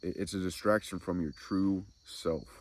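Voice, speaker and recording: A man hosting a soft-spoken spiritual ASMR talk, congested and nasal from a cold, musing slowly and reflectively. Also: fairly even intonation. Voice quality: deep, gravelly. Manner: slow, meandering reflective musing. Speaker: ASMR spiritual channel host.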